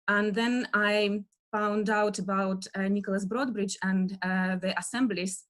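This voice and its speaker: Russian-accented woman in her thirties, video-call lecturer, middle pitch, halting delivery with many fillers. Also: steady tone. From Russia (Western Siberia). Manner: many fillers, soft laughs, searching for words. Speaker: dance historian giving an online lecture. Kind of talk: hesitant online lecture.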